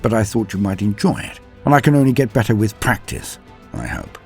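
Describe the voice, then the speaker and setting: A man's voice: on the low side, brisk, darkish tone. A man hosting and narrating lore in a theatrical, florid manner, with scripted delivery and chatty asides. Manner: theatrical, florid wording, self-deprecating. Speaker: lore channel host and narrator. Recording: music under the voice. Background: background music.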